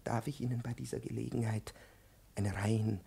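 A pompous, domineering old-time radio professor. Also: male voice, lowish voice, fairly even intonation, normal speed, creaky, husky, exaggerated voice. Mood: insistent lecturing. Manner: pedantic, declamatory, swinging from hushed to shouting. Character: pompous, domineering, excitable male professor lecturing a pupil. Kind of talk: 1950s radio play acting, absurd lecture.

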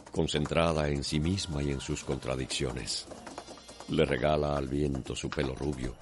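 A man narrating a radio soap opera in a solemn, deliberate, poetic storytelling style. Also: very deep voice.

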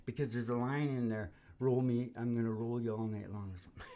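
A man's voice: mid-range voice, steady tone, quick pace, dark tone. A man in his sixties hosting a live acoustic show, chatting casually and jokingly in a rambling way, often chuckling and interrupting himself. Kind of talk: casual, joking chat between songs. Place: small wood-panelled room, indoors. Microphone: stand microphone, seated with guitar.

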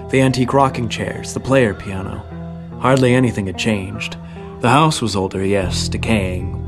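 A male actor as a grave storyteller in a horror audio drama, looking back with solemn, literary phrasing. The voice is on the low side, with plucked guitar music clearly behind it. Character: grave, reflective man recalling his haunted past. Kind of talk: scripted first-person horror narration.

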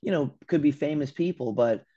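A young American man from Los Angeles, a guest telling animated stories over a video call, acting out quotes and swearing casually. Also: a little high, normal speed, husky. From Los Angeles, USA. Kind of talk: casual interview chat. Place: room at home by a window. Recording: dull lo-fi call audio.

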